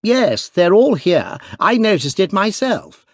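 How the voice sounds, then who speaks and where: A man voicing an excitable, boyish young duke who talks eagerly and emphatically. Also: high voice, slow pace, no room sound, pitched above the performer's own voice, exaggerated voice. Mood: excited.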